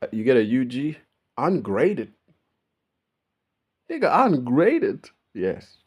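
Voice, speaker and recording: A man's voice: mid-range voice, wildly swooping pitch, slow, darkish tone, husky. A man with a Melbourne Australian accent, talking casually straight to his viewers in a laid-back, swearing monologue. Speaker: YouTuber.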